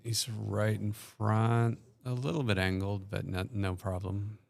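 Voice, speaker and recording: A man's voice: fairly low pitch, little pitch movement, measured pace, vocal fry. A man doing casual play-by-play commentary, describing each move step by step and hesitating often with ums.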